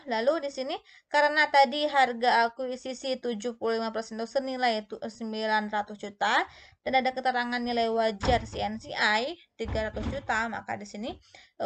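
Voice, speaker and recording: Female instructor, higher-pitched voice, explains methodically in a lecture style. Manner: step-by-step, asks and answers own questions. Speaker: accounting instructor.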